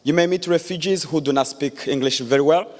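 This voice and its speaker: A man, giving an earnest public speech with many pauses and restarts. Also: on the high side, darkish tone. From Democratic Republic of Congo. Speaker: guest speaker. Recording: echoey hall.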